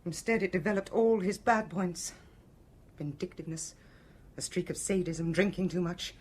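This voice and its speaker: An actress with a British accent playing a bitter, fatalistic wife in a radio drama, guarded and weary. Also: female voice, on the low side, steady tone, moderate pace, darkish tone, a little hiss or hum, lightly acted. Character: brittle, bitter, fatalistic wife of a cruel husband.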